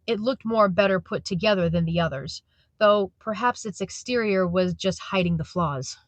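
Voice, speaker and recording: A woman's voice: mid-range voice, moderate pace, dry recording. A woman narrating a scripted audio drama as its storyteller.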